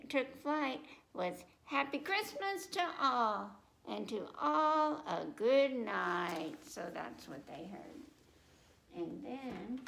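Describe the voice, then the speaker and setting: A woman's voice: sits high, gravelly, fairly bright tone, low-quality recording. A woman presenting a children's storytime, reading picture books aloud and breaking off to speak directly to young listeners with simple questions and repetition.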